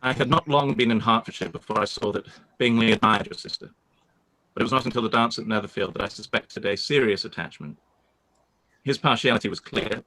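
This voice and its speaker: An actor playing a proud, reserved young gentleman reading out a formal letter in a measured, stiff manner. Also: on the low side, a little hiss or hum, low-quality recording. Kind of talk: live-read radio play.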